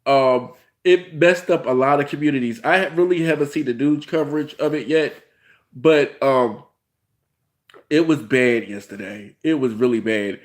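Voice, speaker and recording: A man's voice: mid-range voice, moderate pace. A man in his thirties with a cold, rambling through a casual review with many fillers and restarts. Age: 30s. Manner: rambling, frequent "you know" fillers, restarts. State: has a cold. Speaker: host. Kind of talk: casual reality-TV review vlog.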